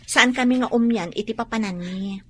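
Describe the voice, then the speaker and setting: Outspoken young Filipino friend, high voice, radio drama. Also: female voice, normal speed, a bit bright. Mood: bantering.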